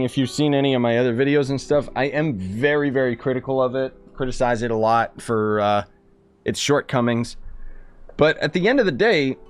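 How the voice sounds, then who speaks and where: Casual male game streamer, mid-pitched. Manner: informal, offhand, chatty. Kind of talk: casual live stream commentary. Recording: faint game music behind the voice.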